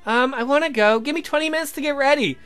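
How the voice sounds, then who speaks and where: Man putting on an excitable girlish young woman, high-pitched. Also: quick pace, soft background music. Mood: sleepy, flustered.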